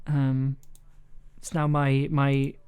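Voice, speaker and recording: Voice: mid-range voice, completely flat delivery, drawn-out words, a little mellow, clean tone. A streamer chatting casually and informally while editing audio, thinking aloud into a desk microphone. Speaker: streamer, audio drama producer. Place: indoors at a computer desk.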